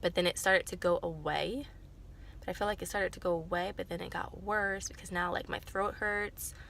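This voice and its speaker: Congested, nasal young woman with a gravelly voice. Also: fairly low pitch, fairly even intonation, moderate pace, a bit bright, a little hiss or hum. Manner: rambling, filler-heavy, self-correcting. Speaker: vlogger. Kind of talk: casual chat to camera.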